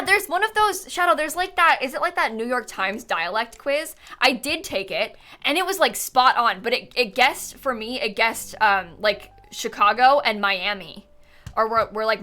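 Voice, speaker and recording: A woman's voice: fairly high pitch, expressive, measured pace, sharp tone. A young American woman with a slightly Midwestern-leaning accent, chatting casually on a livestream and answering viewers, rambling with lots of "like" and "um" and frequent laughs. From Central Florida, US. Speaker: streamer.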